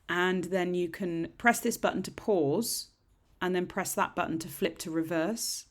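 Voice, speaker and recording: A middle-aged woman with a husky, slightly croaky voice, chatting casually through a nail tutorial in a rambling, self-deprecating way. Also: on the low side. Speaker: nail technician. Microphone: desk microphone.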